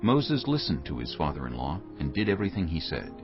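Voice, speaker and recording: A man narrating scripture from a script in a measured storytelling manner. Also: rumbling low, sing-song, highs cut off, over music.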